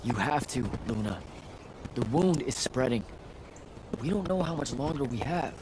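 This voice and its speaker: A man acts an impulsive young battlemage in a fantasy game. He sounds casual and sincere in a medium-pitched, naturalistic voice, with heavy noise behind it. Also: expressive, moderate pace, lightly acted. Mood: pleading. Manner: casual, blunt, pleading at times. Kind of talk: naturalistic video game acting.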